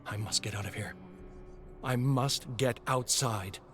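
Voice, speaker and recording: A man's voice: mid-range voice, a little slow, fairly bright tone, faint music behind, lightly acted. A man voicing a haunted, searching man trapped in a nightmare realm, dramatic and questioning, in a storybook reading. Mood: anxious, bewildered.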